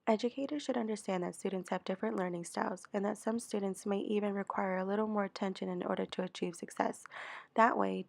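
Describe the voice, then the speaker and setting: A woman's voice: medium pitch, vocal fry, a little mellow, dry recording. Earnest young woman reading a script. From El Salvador. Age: 20s. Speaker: student teacher. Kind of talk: scripted narration for a class video.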